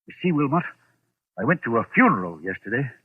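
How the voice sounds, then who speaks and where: A man's voice: medium pitch, sing-song, quick pace, breathy edge. An actor playing a pompous, snobbish middle-aged businessman with a haunted streak, clipped and imperious, in old-time radio drama style. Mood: uneasy. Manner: imperious, clipped, self-important. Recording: noisy, tinny vintage radio recording.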